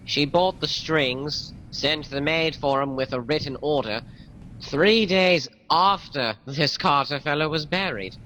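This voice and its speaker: A deferential police sergeant voiced by a man reports in clipped phrases in a radio mystery. His voice is bright and fairly high, in a long reverb. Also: unhurried, a little hiss or hum. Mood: matter-of-fact. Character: deferential, plain-spoken male police sergeant. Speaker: actor in audio drama. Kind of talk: old-time radio mystery acting.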